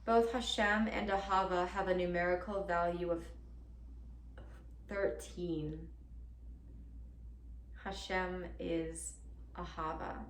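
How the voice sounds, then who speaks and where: A woman's voice: lowish voice, steady tone, takes it slowly, pauses often. Woman acting a nervous, chatty girlfriend, roomy sound. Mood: tender, realizing. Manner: rambling, self-correcting, nervously laughing. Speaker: actor performing a monologue. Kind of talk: theatrical comic-dramatic monologue. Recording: roomy, distant mic with background hiss.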